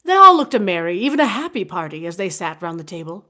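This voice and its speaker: A woman reading a classic novel aloud in an expressive storybook narration style. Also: fairly low pitch, quick pace. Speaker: audiobook reader.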